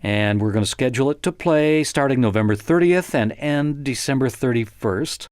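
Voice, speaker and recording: An American man narrating a software tutorial conversationally, explaining step by step in an informal, encouraging way. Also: mid-range voice, normal speed, clear, resonant tone, dry recording, clean studio sound.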